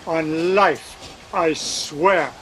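A man's voice: a little high, extremely slow, a bit bright. A man voicing a fiercely loyal foreign henchman who speaks solemn broken English in a radio drama. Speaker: radio play actor. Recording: noisy audio from inside a car.

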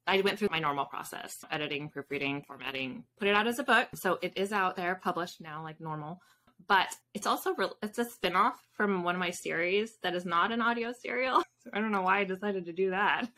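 A woman's voice: on the low side, sharp tone. A woman in her thirties chatting casually as an interview guest on a video call, informal and self-deprecating. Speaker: guest, fiction author and podcaster. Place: indoor home room with bookshelves. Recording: video call with background noise, clicks.

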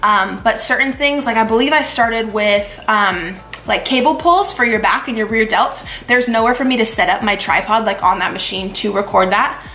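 A woman's voice: a little high, normal speed, pushed and bright. A young adult woman chatting casually as a lifestyle vlogger, upbeat and full of "like" and "you guys". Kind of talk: casual try-on and workout vlog narration.

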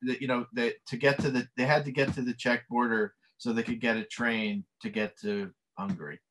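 A man's voice: mid-range voice, fairly even intonation, a little fast, fairly bright tone, poor audio. Male director guest, middle-aged, casual rambling delivery, webcam sound. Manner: rambling, many fillers. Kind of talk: casual interview answers. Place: home office. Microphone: webcam video call.

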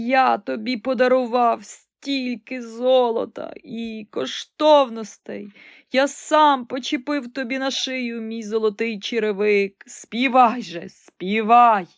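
A woman voicing a frightened old emperor on his deathbed in a storybook reading, crying out in slow, drawn-out pleas. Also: fairly high pitch, smooth, dry recording, clean studio sound, pitched above the performer's own voice. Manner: slow, drawn-out pleading cries.

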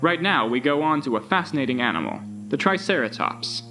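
A man's voice: mid-range voice, big pitch swings. Man as a polished tour guide over music. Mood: presentational. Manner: measured, presentational. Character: polished recorded tour guide narrator, calmly enthusiastic. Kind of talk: scripted tour narration in an audio drama.

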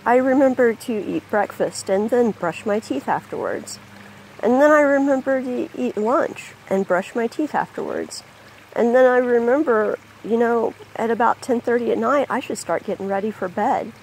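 A person talking casually outdoors by a backyard pond into a handheld phone, rambling and hesitant with many pauses and ums. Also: mid-range voice, a little hiss or hum, rough recording. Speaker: vlogger. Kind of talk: casual spoken vlog. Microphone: phone held by the speaker.

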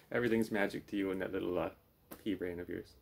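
A man's voice: low voice, normal speed, gravelly. A man in his thirties hosting a puppet show with dry, teasing, casual banter, in a room at home. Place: small room at home, indoors.

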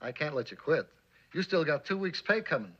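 Cynical American police boss, fairly high male voice, forceful and needling, old TV drama, dull lo-fi sound. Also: very animated, fairly quick, slightly rough, heavily acted. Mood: sardonic. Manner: needling, bossy. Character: brash, cynical former army captain turned police boss. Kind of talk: mid-century American suspense drama acting.

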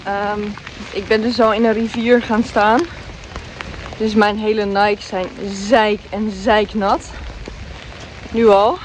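A woman's voice: mid-range voice, little pitch movement, slow, pauses often, poor audio. A woman in her thirties chatting casually into her phone for a vlog, full of fillers and restarts, first from a car and then outdoors in the rain. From Netherlands. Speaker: vlogger. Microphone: phone held at arm's length. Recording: phone audio with rain and traffic noise.

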